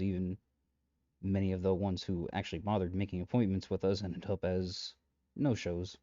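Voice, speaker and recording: A man's voice: sits low, mellow, no room sound, dull audio, natural voice. A man playing a shady, flippant matchmaker in a comedic audio roleplay, rambling sarcastically to the listener with breezy menace. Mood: breezy, chatty. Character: shady, flippant male matchmaker coercing a trapped customer.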